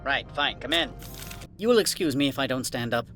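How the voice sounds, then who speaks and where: A man's voice: medium pitch, animated, a little fast, fairly bright tone, lightly acted. Guy as snooty irritable bureaucrat, pompous, game dialogue, faint music. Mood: impatient, scornful. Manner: pompous, impatient. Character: irritable, haughty, dismissive male administrator.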